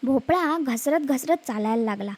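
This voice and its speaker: A woman's voice: high voice, lively intonation, normal speed, a little mellow. A woman storyteller narrating a scripted children's story, speaking expressively to young listeners.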